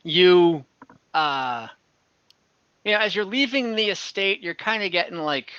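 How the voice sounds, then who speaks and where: A man narrating as game master in an informal, halting way, chatting casually between scenes over a video call. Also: high voice, lively intonation, pushed and bright, clean tone, no echo. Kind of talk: narrating an online tabletop game, casual chat. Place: indoors at home, on a group video call. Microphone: computer or headset mic over video call. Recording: dull video call audio.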